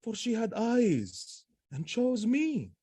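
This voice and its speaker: An actor playing a proud military husband troubled by jealousy, speaking Shakespearean verse emphatically and haltingly in an audio drama. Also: male voice, very high voice, huge pitch swings, mellow, husky, no room sound, exaggerated voice. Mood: proud, defensive.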